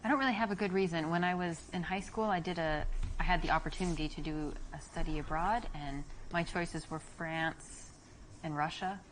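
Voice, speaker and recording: A woman from the United States answering questions calmly and politely, playing a character in a dating game. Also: lowish voice, moderate pace, a little hiss or hum, low-quality recording. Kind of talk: acted conversation.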